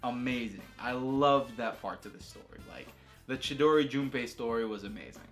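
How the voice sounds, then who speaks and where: A man's voice: medium pitch, moderate pace, soft background music. A man giving casual, unscripted opinions as a video creator, talking in an enthusiastic, slangy way and repeating phrases for emphasis. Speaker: video creator reviewing a game.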